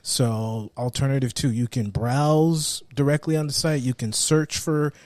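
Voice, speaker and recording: A man's voice: medium pitch, fairly even intonation, measured pace, darkish tone, no echo. Casual man in a dry-sounding space. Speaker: podcast co-host presenting website picks. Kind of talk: casual show-and-tell.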